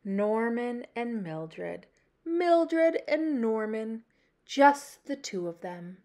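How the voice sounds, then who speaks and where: A woman hosts a children's story time, reading aloud expressively. Her voice is fairly high and very varied in pitch. She takes her time, leaning on dramatic pauses and emphasis. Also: very animated, darkish tone. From Canada. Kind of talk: expressive picture-book read-aloud.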